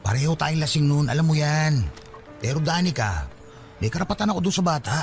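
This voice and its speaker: An insistent young Filipino man played by an actor presses his point in a radio-style drama. His slightly high, fast voice moves with animated, confrontational stubbornness over faint music. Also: gravelly.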